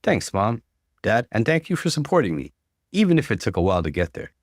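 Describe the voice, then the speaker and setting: A man's voice: fairly low pitch, big pitch swings, brisk, no echo, studio quality. A man voicing an earnest, grateful young researcher speaking to parents in a narrated story. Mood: grateful, firm.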